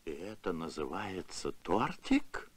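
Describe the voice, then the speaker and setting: Deep, gloomy spaceman. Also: big pitch swings, slow pace, very noisy, full character voice. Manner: morose, grumbling. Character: gloomy, grumbling big bearded spaceship captain. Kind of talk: Soviet animated film voice acting.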